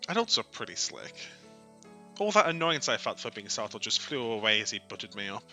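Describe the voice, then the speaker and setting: A man reading a visual novel aloud as a streamer, in expressive story narration. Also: lowish voice, fairly quick. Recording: music behind the voice.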